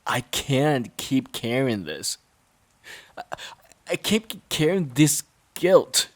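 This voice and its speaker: A man playing a worn-out, resentful boyfriend in an audio drama, pleading in a halting, strained way. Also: medium pitch, sing-song. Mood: anguished, heated. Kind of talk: dramatic audio roleplay monologue.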